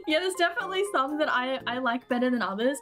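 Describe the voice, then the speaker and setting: Give me a chatty Australian woman streamer with a fairly high voice who laughs a lot, faint music behind her. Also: lively intonation, fast talker, fairly bright tone. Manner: chatty and giggly, laughs often. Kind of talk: casual chat with viewers while gaming.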